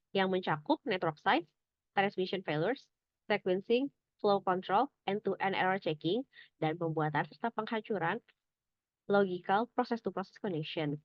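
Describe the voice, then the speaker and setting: A young adult woman presents academic material like a student reading from notes. Her delivery is measured and explanatory, with some halting. The sound is dry and slightly dull, with a lo-fi finish. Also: mid-range voice, dry recording.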